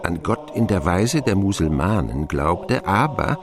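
An actor playing an older man who wryly recalls his boyhood as the first-person narrator of a radio drama, expressive in his telling. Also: low-pitched, a little slow, crowd noise. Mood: wry, puzzled. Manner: wry, expressive storytelling.

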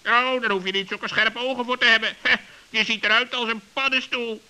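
A man playing a brisk, teasing but kindly raven in a children's radio play, with a high, sharp voice. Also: fairly even intonation, smooth, heavily acted, rough recording.

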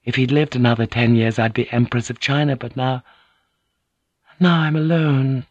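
A man's voice: mid-range voice, fairly even intonation, normal speed, darkish tone, no room sound, highs cut off, voice pushed up, lightly acted. A man voicing a grieving, helpless noblewoman, solemn and formal, in an audiobook. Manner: formal, solemn self-questioning. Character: grieving, helpless noblewoman alone with her duty.

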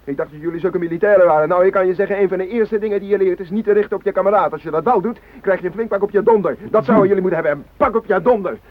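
A man's voice: high-pitched, very fast, few pauses, close to an everyday voice. An actor in a radio drama playing a blunt, unafraid man who scolds his armed captors. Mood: scolding, defiant. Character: gruff, unafraid male hostage scolding armed hijackers. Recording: very dull, band-limited radio recording.

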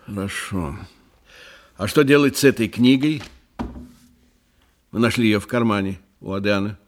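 An actor playing a businesslike police inspector in a radio play, speaking matter-of-factly. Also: male voice, lowish voice, moderate pace, slight background noise, lightly acted. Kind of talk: dramatic radio play dialogue.

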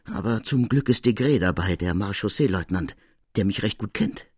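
A man's voice: fairly low pitch, lively intonation, normal speed, breathy edge, dry recording, clean studio sound. A man voicing an honest, frightened servant in an audiobook, with agitated and urgent delivery. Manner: agitated, breathless storytelling, urgent. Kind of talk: dramatic audiobook character reading.

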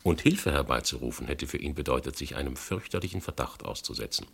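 Rauer, tiefer Ermittler. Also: male voice, extremely low pitch, expressive, gravelly, a bit bright, husky, full character voice. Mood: dry irony. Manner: deliberate questioning, formal, insinuating. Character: male investigator, probing, politely ironic and sceptical. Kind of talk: German radio play dialogue.

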